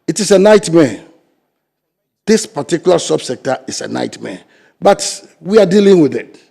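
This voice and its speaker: A man's voice: big pitch swings, normal speed, gravelly, breathy edge. An older Ghanaian man with a Ghanaian English accent, arguing emphatically as a guest in a studio interview. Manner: emphatic and argumentative, swinging between loud stresses and low asides. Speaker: fisheries sector guest.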